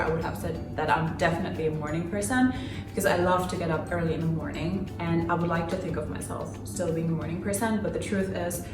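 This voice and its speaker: A woman's voice: fairly low pitch, reverberant, distant mic. A woman in her twenties, from Finland and raised in Germany, chatting through a casual get-to-know-me Q&A with many fillers and small laughs. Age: 20s. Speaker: vlogger. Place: home room.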